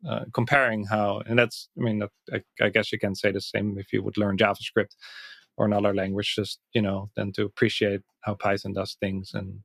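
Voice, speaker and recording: A Dutch man, a native Dutch speaker, talking in English as a podcast guest, reflective and thinking aloud with soft laughs. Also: lowish voice, flat delivery, a little fast, creaky, no echo. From Netherlands. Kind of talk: casual interview chat.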